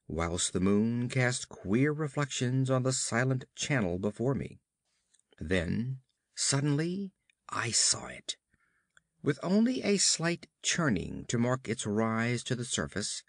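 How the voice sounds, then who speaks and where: A man's voice: medium pitch, no room sound, studio quality. A man reading a horror story aloud as an audiobook narrator, theatrical and dramatic, drawing out emphatic words.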